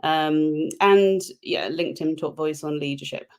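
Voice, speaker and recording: Woman, lowish voice, chatty, repeats words, remote call guest. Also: a little slow, a little mellow. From United Kingdom. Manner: chatty, self-deprecating humor, frequent repeated words. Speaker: podcast guest, organizational psychologist. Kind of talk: casual interview chat.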